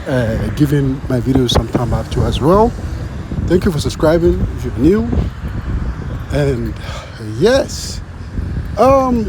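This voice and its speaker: A man's voice: fairly high pitch, background noise. A man from Ghana talking casually to his channel's viewers, full of fillers, often checking with "you understand?". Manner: animated, repeats "you understand", many fillers. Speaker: vlogger. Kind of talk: casual talk to camera.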